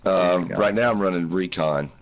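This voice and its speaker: A man's voice: sits low, moderate pace, dull audio. A sick man chatting casually as he plays with friends, speaking very close to his microphone. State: sick, voice lower than usual. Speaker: co-player on a gaming stream. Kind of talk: casual chat.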